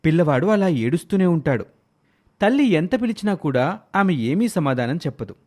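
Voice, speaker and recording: A man's voice: a little high, a little mellow. A man narrating a scripted moral story.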